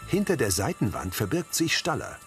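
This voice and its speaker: A man reading scripted audio description of scenes in a measured way. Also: medium pitch, sing-song, over music.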